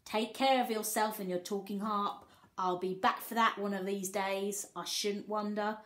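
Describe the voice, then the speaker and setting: A woman's voice: medium pitch, fairly even intonation, moderate pace, fairly bright tone, roomy sound, natural voice. A woman voicing a cheeky, boastful young boy from a fairy tale, chatty and colloquial as the postcard is read out. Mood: cheeky, loud.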